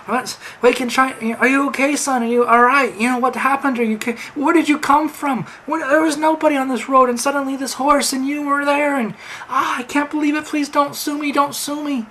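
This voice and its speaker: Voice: high voice, fairly even intonation, fairly quick, bright, forceful tone, a little hiss or hum, voice pushed up, poor audio. A storyteller doing a flustered, rattled driver. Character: flustered middle-aged male driver.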